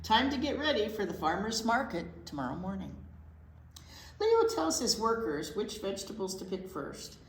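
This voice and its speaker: A woman teacher reading a picture book aloud to children, with casual chatty asides. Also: medium pitch. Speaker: library storytime teacher. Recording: echoey room with faint noise.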